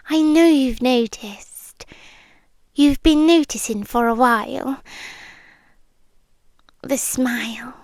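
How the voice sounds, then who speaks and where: A British woman voicing a possessive vampire girlfriend in an ASMR roleplay, gentle and coaxing with quiet menace, often slipping into whispers close to the microphone. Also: sits high, dry recording. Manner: soft, deliberate, lingering pauses, slipping into whispers. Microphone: close microphone, dry.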